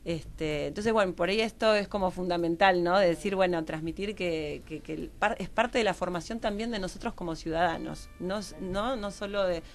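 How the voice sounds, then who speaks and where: A woman's voice: fairly low pitch, faint music behind. A woman with an Argentine accent speaking as a guest in a radio interview, searching for words and repeating herself as she explains. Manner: halting, repetitive, searching for words. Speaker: guest researcher on gender and health law.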